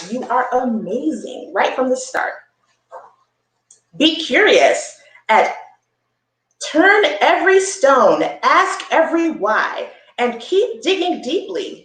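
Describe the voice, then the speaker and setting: Lady doing kids storytime, really animated voice, kinda high, explains stuff simply, echoey room. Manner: expressive, encouraging, explaining things simply to young listeners. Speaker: storytime host reading a picture book aloud. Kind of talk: children's read-aloud with chatty asides.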